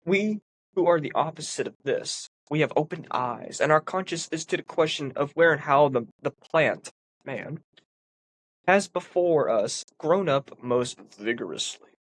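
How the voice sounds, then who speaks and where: A man's voice: on the high side, animated, a little slow, fairly bright tone, a little hiss or hum. A man with a congested, sniffly voice, reading a philosophy text aloud and explaining it in an earnest way with emphatic stresses. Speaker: philosophy commentator.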